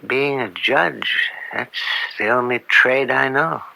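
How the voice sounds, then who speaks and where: An actor playing an older judge in an old-time radio drama, upright and weary, speaking deliberately with a gravelly, dryly sardonic edge. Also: male voice, mid-range voice, bright, forceful tone, dry recording, full character voice, rough recording. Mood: flustered, troubled. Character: upright, weary veteran judge and father, proud and stubborn.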